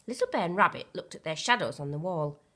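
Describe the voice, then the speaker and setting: A woman's voice: fairly low pitch, lively intonation, fast, a bit bright. A woman reading a children's storybook aloud expressively, like a primary school teacher.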